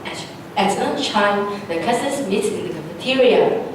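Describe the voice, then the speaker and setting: Young woman, scripted stage narration, medium pitch, distant mic, strong room echo. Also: expressive, measured pace. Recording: echoey hall, distant mic, faint ambient noise.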